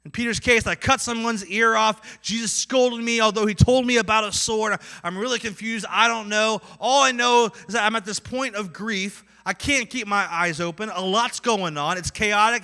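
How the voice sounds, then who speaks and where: A man with a Midwestern accent preaching a sermon, acting out inner voices and swinging between loud and quiet. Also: high voice. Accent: Midwestern American. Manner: animated, acts out inner voices with swings in loudness. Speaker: pastor.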